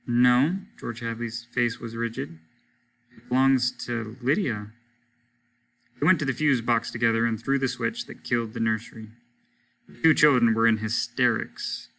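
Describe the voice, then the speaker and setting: A man, a teacher, narrating a short story aloud in a measured, low-key way. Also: vocal fry, sharp tone, poor audio. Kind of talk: dramatic story reading.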